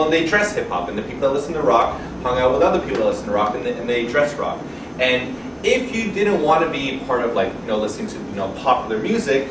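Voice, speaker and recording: A young man talks casually to an audience, animated and quick to curse as he tells his stories. He sounds like he's some distance from the mic. The room is echoey, and a steady murmur of noise sits behind him. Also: mid-range voice. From Canada. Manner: animated, swears casually, acts out quoted voices. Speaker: guest speaker. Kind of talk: casual storytelling to a live audience. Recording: roomy, distant, noisy event audio.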